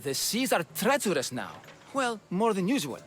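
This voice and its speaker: An actor playing a shrewd ship dealer in a video game, haggling and passing on warnings and gossip. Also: male voice, high voice, sing-song, moderate pace, fairly bright tone, faint music behind. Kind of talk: video game cutscene acting.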